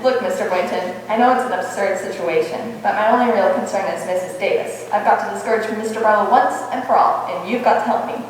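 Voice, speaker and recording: A woman playing a wry, quick-witted schoolteacher in a radio comedy, with dry wisecracking delivery. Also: medium pitch, far from the mic, close to an everyday voice. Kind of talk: 1940s-style radio comedy stage acting. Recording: echoey hall from afar, faint noise.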